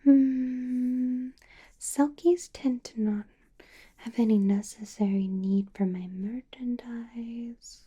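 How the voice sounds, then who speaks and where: A woman playing a doting, possessive female vampire boss in a whispered ASMR roleplay, breathy, coaxing and intimate. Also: fairly high pitch, steady tone, warm tone. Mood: musing, coaxing. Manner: slow, coaxing, intimate whisper with murmured hums.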